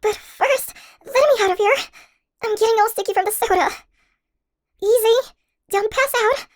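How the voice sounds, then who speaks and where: An anxious, tiny college girl, played by a woman for an audio roleplay. Her voice sits extremely high and young. She talks in a chatty, expressive way, hesitating as she goes. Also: moderate pace. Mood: urgent pleading. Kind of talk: first-person audio roleplay, conversational acting.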